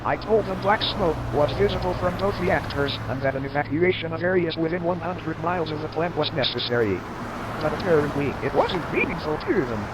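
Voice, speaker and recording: A man voicing a hot-tempered fire chief who swears and yells over radio calls in an amateur comedic radio drama. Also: fairly high pitch, lively intonation, a little fast, close to an everyday voice. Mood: indignant. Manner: angry swearing radio calls, voice cracking upward. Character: hot-tempered male fire chief, foul-mouthed, shouty. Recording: loud siren and vehicle noise.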